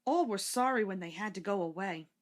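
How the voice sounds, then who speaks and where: A woman's voice: mid-range voice, lively intonation, fairly quick. A woman reading a scripted children's story aloud as narrator.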